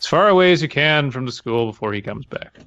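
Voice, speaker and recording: A man with a mid-pitched voice co-hosts a podcast in a dry recording. He talks casually and reads aloud, with a wry, slightly grumbling sense of humor. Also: animated, a little fast, a bit bright, no echo, muffled recording. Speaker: podcast co-host. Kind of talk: casual chat and reading aloud.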